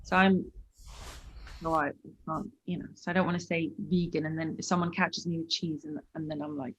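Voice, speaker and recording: A woman's voice: deep voice, little pitch movement, a little fast, poor audio. A woman from Switzerland, a musician guest chatting casually and bubbly in an interview.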